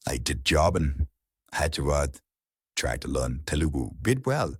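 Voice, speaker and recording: A man with an Indian accent giving an informal instructional talk in an earnest, halting way, repeating words for emphasis. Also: rumbling low, big pitch swings, slightly rough, dry recording, studio quality. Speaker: public speaking coach.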